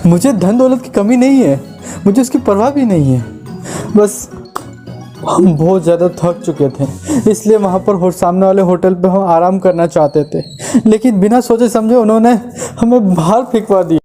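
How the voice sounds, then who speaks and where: A man's voice: high voice, normal speed, mellow, soft background music, natural voice. A man voicing an earnest, imploring husband, a wealthy traveler in distress, in a moral story. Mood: earnest, grateful. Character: wealthy grateful husband in distress, pleading for help. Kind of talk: Hindi moral story voice acting.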